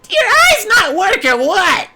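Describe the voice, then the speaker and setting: Small talking weasel-like sorcerer: squealing high pitch, outraged, theatrical, shouty, full of self-importance. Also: male voice, huge pitch swings, measured pace, very noisy, full character voice. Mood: angry, snarling.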